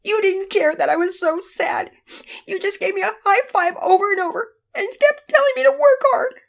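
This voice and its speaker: Woman voicing a high, wailing, upset young girl. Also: moderate pace, gravelly. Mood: tearful, hurt. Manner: wailing, whiny protest. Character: upset young girl, tearful, indignant, sulking.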